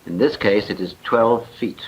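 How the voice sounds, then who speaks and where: A man's voice: lowish voice, a little slow, breathy edge. A man with a British accent giving a formal, precise scripted narration in the style of a 1940s military training film. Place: voice-over recording for a film. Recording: muffled, noisy 1940s film soundtrack.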